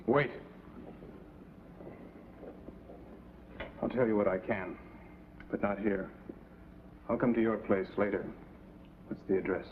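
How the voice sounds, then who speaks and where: An actor playing a guarded, proud young son in a vintage television drama, formal and measured. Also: male voice, fairly low pitch, fairly even intonation, close to an everyday voice. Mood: earnest. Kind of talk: 1950s television drama acting. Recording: tinny, hissy vintage TV soundtrack.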